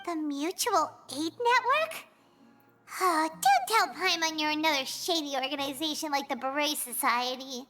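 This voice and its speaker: A woman's voice: sits high, moderate pace, over music. A woman voicing a small, childlike, chirpy and curious companion in a video game, speaking expressively. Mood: suspicious. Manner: third-person self-reference, exclamatory, expressive.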